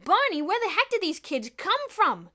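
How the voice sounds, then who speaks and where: A woman voicing a harried male police officer speaking bluntly and loudly. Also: a little high, lively intonation, brisk, voice pushed up. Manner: blunt, loud.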